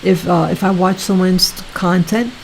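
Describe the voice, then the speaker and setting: A woman hosting her own video channel, talking casually to her viewers in a soft, soothing voice, halting often and repeating herself. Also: on the low side, fairly even intonation, slight background noise, poor audio. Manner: slow, repetitive, halting with many restarts. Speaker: YouTube channel host.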